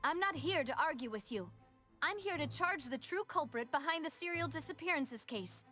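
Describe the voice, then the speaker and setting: A woman's voice: high-pitched, lively intonation, normal speed, sharp tone, soft background music. A woman playing a bold, defiant young noblewoman in a video game, assertive, articulate and dramatic.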